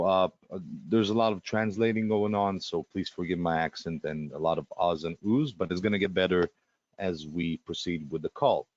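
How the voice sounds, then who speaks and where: A man with a Russian accent and a very deep voice hosts a live online webinar. He talks in a chatty, loose way, full of fillers and restarts. The audio is a little dull and lo-fi. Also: a little mellow. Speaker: webinar host.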